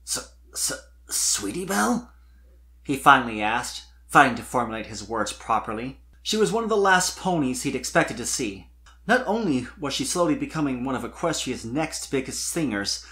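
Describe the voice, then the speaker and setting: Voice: mid-range voice, fairly bright tone. A narrator reading fan fiction aloud as a dramatic scripted story.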